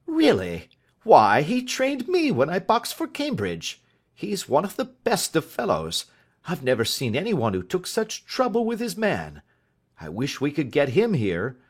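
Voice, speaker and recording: Guy voicing a fussy schoolmaster, higher, louder, all exclaiming questions. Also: wildly swooping pitch, a little slow, husky, exaggerated voice. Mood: eager. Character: eager excitable adult male schoolmaster.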